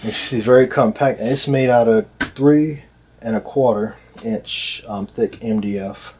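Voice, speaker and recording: A man speaking casually and off the cuff as a loudspeaker maker presenting products, in a hesitant, repetitive, halting way. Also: mid-range voice. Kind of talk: casual unscripted product explainer. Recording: noticeable background noise, amateur video sound.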